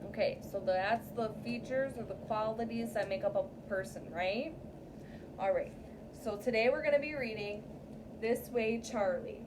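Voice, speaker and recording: A woman's voice: fairly high pitch, moderate pace. A woman teacher leading an interactive read-aloud story time for young pupils, often checking in with short questions. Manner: addresses young pupils, frequent checking questions like "okay?" and "right?". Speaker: teacher reading aloud to a class. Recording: distant mic, clear background room noise.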